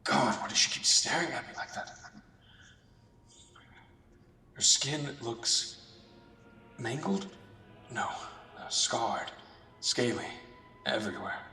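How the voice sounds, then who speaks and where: A man acting an uneasy, squeamish but conscientious doctor in a radio drama, speaking formally and haltingly in internal monologue. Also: medium pitch, expressive, sharp tone, roomy sound, distant mic, a little hiss or hum, full character voice. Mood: disturbed, squeamish. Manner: halting, formal, self-questioning.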